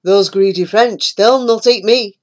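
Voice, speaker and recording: A woman's voice: mid-range voice, unhurried, a little mellow, lightly acted. A woman doing a hearty, unflappable giant snail. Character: huge unflappable magic male snail.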